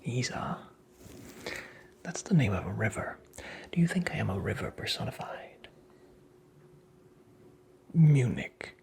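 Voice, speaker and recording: Someone doing a creepy little fairytale imp for asmr, whispery n creaky, taunting, fake polite. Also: medium pitch, very animated, a little mellow. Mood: mocking, indignant. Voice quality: breathy whisper with gravelly creak. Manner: slow, theatrical, mockingly courteous.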